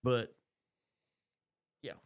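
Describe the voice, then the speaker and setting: A man co-hosting a podcast in casual conversation, putting his thoughts together in a hesitant, reflective way. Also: extremely slow. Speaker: podcast co-host. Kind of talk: casual chat.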